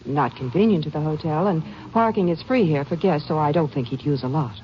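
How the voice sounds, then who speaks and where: A man's voice: on the high side, expressive, fairly quick, slight background noise, close to an everyday voice. A man playing a helpful hotel garage attendant in a 1950s radio drama, matter-of-fact and obliging. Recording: dull old radio recording, faint music.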